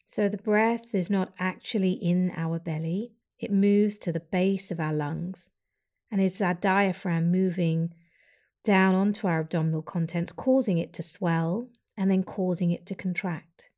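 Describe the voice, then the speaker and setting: A woman leads a guided breathing meditation in a mellow, slightly low voice. She gives slow, soft, soothing instructions and leaves long pauses between them. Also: steady tone.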